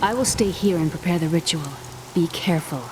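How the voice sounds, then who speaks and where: A woman voicing a capable ally who speaks quickly and firmly. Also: on the low side, natural voice. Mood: resolute. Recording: game mix with rain noise.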